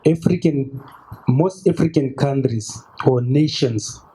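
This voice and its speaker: A young man in the audience making an earnest comment, pausing often to find his words. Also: mid-range voice, warm tone, breathy edge, low-quality recording. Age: young adult. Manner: earnest, halting with fillers. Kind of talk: public comment.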